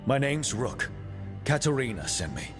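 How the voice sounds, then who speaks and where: Casting: male actor as young adventurer hero, mid-pitched, direct and businesslike, fantasy game, loud music bed. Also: sing-song, normal speed, lightly acted. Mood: resolute. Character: resolute young male adventurer leading a rescue. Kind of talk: cinematic fantasy game voice acting.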